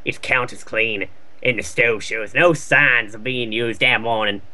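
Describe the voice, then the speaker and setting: A man's voice: medium pitch, steady tone, measured pace, bright, forceful tone. A wisecracking man bantering casually and reading a story aloud for comedy. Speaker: co-host reading and commenting.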